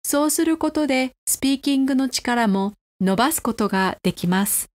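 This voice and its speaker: Quick, higher-pitched woman presenter. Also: lively intonation, a little mellow. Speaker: podcast presenter. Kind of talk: scripted explanation for language learners.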